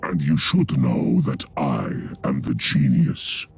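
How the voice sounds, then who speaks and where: A scheming alien torturer-scientist who talks down to everyone around him. His voice sits extremely low and grinds with gravel. He drags out his words, yet his pitch rises and falls a great deal, and a faint hiss runs under it. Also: expressive, full character voice. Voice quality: deep, gravelly and drawn-out. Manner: condescending, grandiose. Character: sinister male alien torturer-scientist, arrogant and cold. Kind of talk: video game cutscene voice acting.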